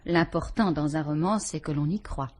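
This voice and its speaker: A young actress with a French accent playing a composed murder suspect who answers guardedly and with faint mockery in a vintage radio drama. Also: female voice, low voice, normal speed, a little mellow. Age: young adult. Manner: cool, guarded, faintly mocking.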